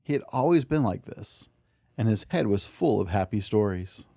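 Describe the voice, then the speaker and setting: A man hosting and reading a children's picture book aloud in an expressive, gentle bedtime-story way. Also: on the low side, normal speed, gravelly, no room sound. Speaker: podcast host and storybook reader.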